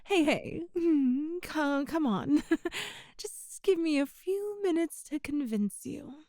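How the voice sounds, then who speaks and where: A woman's voice: sits high, animated, slow pace, no echo, clean studio sound. A woman playing a possessive, sweet-talking female boss in an intimate ASMR roleplay, with a coaxing, hesitant manner. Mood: pleading, coaxing. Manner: coaxing, hesitant, with soft chuckles. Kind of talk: ASMR roleplay, intimate one-sided dialogue.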